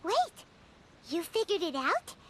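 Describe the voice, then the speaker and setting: A woman voicing a tiny, excitable, curious childlike fairy companion in a video game, squeaky and full of questions and exclamations. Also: slow pace, a little hiss or hum, full character voice. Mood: surprised, questioning. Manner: exclamatory, inquisitive. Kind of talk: scripted video game voice acting.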